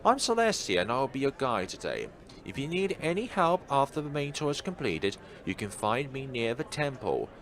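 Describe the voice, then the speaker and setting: A man's voice: mid-range voice, big pitch swings, normal speed, fairly bright tone, pitched above the performer's own voice. A man voicing a cheerful, eager young woman working as a tour guide in a visual novel read-aloud. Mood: cheerful, welcoming. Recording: music playing behind the voice.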